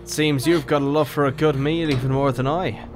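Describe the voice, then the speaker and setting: A man's voice: on the high side, fairly even intonation, fairly quick, over music, natural voice. A man playing an earnest, good-natured male adventurer, coaxing and wary, in a fantasy audio drama.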